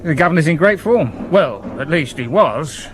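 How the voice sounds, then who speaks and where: A man plays a loyal but wary British manservant in a period radio drama. His voice sits fairly high and moves animatedly, with an informal, wry chattiness. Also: animated, normal speed, a little hiss or hum, close to an everyday voice. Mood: wry, breezy. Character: cheeky, loyal, wary Victorian theatre dresser and manservant. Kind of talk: 1970s BBC radio drama acting.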